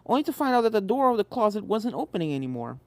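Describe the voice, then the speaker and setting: A woman's voice: medium pitch, very animated, racing, a little mellow, no echo. A woman narrating a scripted story expressively. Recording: music behind the voice.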